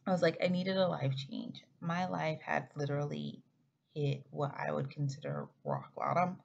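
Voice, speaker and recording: Low, gravelly-voiced woman in her thirties. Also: animated, moderate pace. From Oklahoma, United States. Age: 30s. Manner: chatty, rambling, frequent self-corrections. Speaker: flight attendant vlogger. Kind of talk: casual chat to camera. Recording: slightly muffled with faint background hiss.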